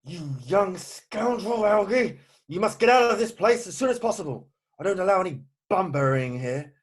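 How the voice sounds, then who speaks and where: Casting: exasperated Victorian gentleman played by a man, flustered and hesitant, slightly high voice, amateur stage reading. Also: lively intonation, normal speed. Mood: angry, baffled. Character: exasperated, indignant Victorian gentleman, guardian of a young woman. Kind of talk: amateur play read-through.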